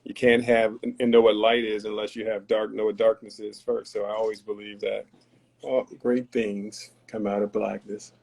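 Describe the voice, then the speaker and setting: Guy on a podcast, low mellow voice, kinda preachy and deep-thinking. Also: level tone, normal speed, gravelly. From Tarboro, North Carolina. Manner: earnest, philosophical, preachy. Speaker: podcast guest. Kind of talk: reflective conversational talk.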